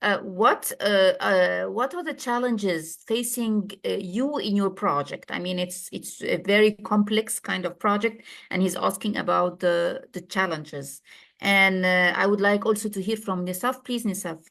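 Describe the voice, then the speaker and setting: A woman's voice: mid-range voice, measured pace, vocal fry, fairly bright tone. A woman moderating an informal online meeting in a polite, conversational way.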